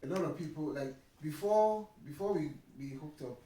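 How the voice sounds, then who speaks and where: An echoey, slightly noisy recording of a man with a Nigerian accent, set back from the microphone. He chats as a guest, explaining his view and checking that the listener follows. His pitch is average for a man. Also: moderate pace, mellow. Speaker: interview guest. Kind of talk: casual conversation about marriage. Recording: echoey room, distant mic, faint noise.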